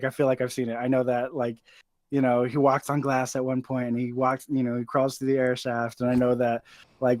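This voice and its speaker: A man's voice: mid-range voice, darkish tone, no echo. A man guesting on a film podcast, talking earnestly with lots of fillers. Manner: earnest, filler-heavy, many "like"s. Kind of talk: casual movie chat.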